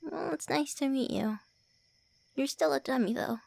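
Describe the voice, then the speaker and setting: A woman's voice: sits high, normal speed, vocal fry, darkish tone, no echo. A woman voicing a young-looking female vampire lord who is secretly shy and calls the listener names. She whispers and stammers in an ASMR roleplay. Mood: flustered teasing. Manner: whispery, stammering, brusque teasing. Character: tsundere female vampire lord, centuries-old, looks early twenties, flustered, insulting.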